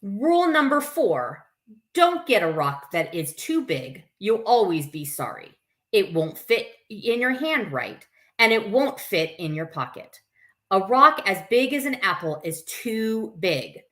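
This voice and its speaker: A woman with a bright, forward voice hosts a story time. She reads in an expressive storybook style and slips into informal asides to her listeners. Her pitch sits in an ordinary middle range for a woman. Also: measured pace. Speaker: camp staff story time host. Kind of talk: live picture-book read-aloud with chatty asides.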